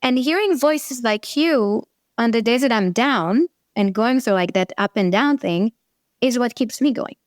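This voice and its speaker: A woman's voice: a little high, measured pace, no room sound, studio quality. A woman in her forties with an Israeli accent, a therapist talking earnestly and animatedly in an interview. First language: Hebrew. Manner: earnest, animated, swearing now and then. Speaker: therapist guest. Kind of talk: conversational interview.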